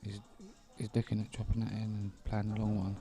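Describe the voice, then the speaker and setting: A man's voice: lowish voice, completely flat delivery, brisk, warm tone, faint music behind. A man, co-commentating pool in casual, informal chat and talking through shots. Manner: casual, informal, laughs easily. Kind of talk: live stream commentary chat.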